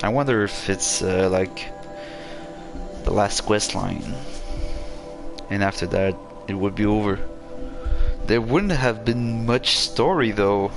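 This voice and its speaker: Low-voiced man slowly chatting over game music. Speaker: livestreamer. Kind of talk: casual gameplay commentary. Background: video game music.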